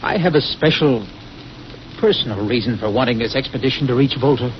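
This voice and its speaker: Guy playing stubborn young lieutenant, earnest, mid pitch, muffled old radio with faint noise. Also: fairly quick, lightly acted. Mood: earnest, insistent. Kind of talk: 1950s radio acting. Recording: dull vintage radio recording, faint noise.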